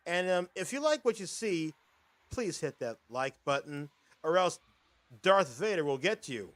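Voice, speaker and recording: A man's voice: fairly high pitch, big pitch swings, measured pace, fairly bright tone. A man hosting a casual video podcast, narrating footage in an upbeat, rambling announcer patter. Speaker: host.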